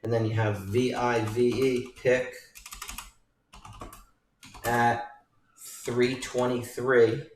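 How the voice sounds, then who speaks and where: A man with a mid-pitched voice talks slowly through a trading walkthrough, repeating points for emphasis in an informal way. He sounds set back from the microphone in an echoey room. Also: level tone. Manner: emphatic, repetitive, addressing viewers as folks. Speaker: stock trading educator and newsletter writer. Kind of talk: informal stock chart walkthrough. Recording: roomy echo, distant mic, faint music.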